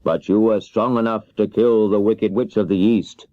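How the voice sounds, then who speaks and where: A man voicing a stern, commanding great wizard who speaks deliberately in a children's storybook. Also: fairly low pitch, fairly even intonation, dark tone, no room sound, natural voice. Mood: questioning, imposing. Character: stern, bargaining great wizard, a giant head. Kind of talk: children's audiobook character voice. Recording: dull 1970s cassette audiobook.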